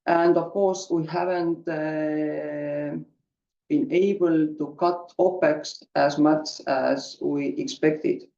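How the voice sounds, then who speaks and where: A man with a relaxed, mellow tone and a somewhat high pitch presents company financials on an earnings call. He speaks slowly and haltingly, with many filler sounds. The audio is muffled and roomy. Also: creaky. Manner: hesitant, halting delivery with frequent filler sounds. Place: conference call.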